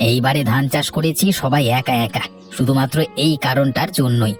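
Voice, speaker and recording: A man's voice: mid-range voice, fairly even intonation, a little fast, faint music behind, close to an everyday voice. A man playing a harried village farmer in a cartoon, speaking in a respectful, earnest manner. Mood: weary, resolved. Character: deferential village farmer, plain-spoken and harried. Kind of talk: cartoon dialogue.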